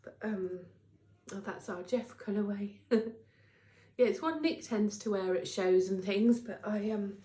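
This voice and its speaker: A woman's voice: medium pitch, measured pace, mellow. Chatty British woman, congested, in an echoey room. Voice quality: congested, slightly nasal. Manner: chatty, rambling, emphatic repetition, tag questions. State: congested from a cold. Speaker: knitting podcast host. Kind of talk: casual chat to camera. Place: indoors at a craft workplace. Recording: roomy, echoing indoor sound.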